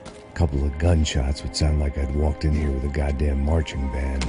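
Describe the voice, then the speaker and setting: A burned-out ex-cop with a very deep, gravelly voice. He talks in a flat, world-weary way, and there is music under the lines. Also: moderate pace. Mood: brooding. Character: weary, bitter male ex-cop, middle-aged. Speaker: lead character and narrator. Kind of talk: hardboiled game narration.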